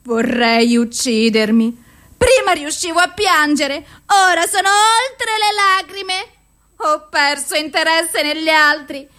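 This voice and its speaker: An actress playing a despairing, suicidal and self-loathing woman in an anguished, deliberate theatrical radio monologue. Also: high-pitched, sharp tone, exaggerated voice. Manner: slow, deliberate, anguished delivery.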